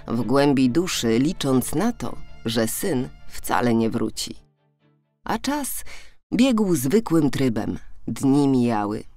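A woman with a low voice tells a children's fairy tale. Her delivery is dramatic, with pitch that moves widely from phrase to phrase. Soft music plays behind her. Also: no echo. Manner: animated, dramatic storytelling delivery. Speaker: storyteller. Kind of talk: expressive scripted children's fairy-tale narration. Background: background music.

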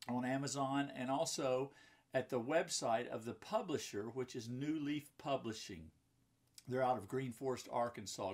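A man in his fifties, a pastor, reading a children's story and explaining it simply in chatty asides. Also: medium pitch. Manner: simple explaining to young listeners. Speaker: pastor and children's book author. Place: indoor book-lined room at home or office.

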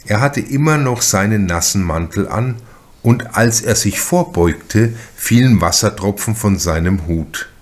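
A man reading a crime novel as an audiobook narrator, in a measured, deliberate manner with careful pauses. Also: lowish voice, expressive.

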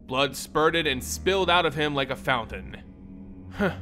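Animated male fantasy storyteller, slightly high. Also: normal speed, faint music behind. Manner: theatrical, expressive. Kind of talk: dramatic scripted fantasy narration.

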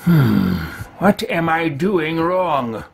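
A man's voice: on the high side, expressive, extremely slow, vocal fry, husky, exaggerated voice. A man roleplaying an elderly, earnest wizard in a video game, talking to himself in formal, old-fashioned, theatrical language. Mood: pensive, muttering. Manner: formal, archaic, theatrical self-talk.

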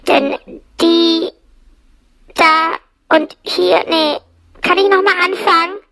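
A woman voicing a chatty, self-pitying, cheeky plush monster in a comic audio play, with a strained voice and rambling, throat-clearing delivery. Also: very high-pitched, steady tone, slow, bright, forceful tone, exaggerated voice, low-quality recording. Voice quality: creaky, strained. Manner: rambling, throat-clearing, mock-earnest asides. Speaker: host.

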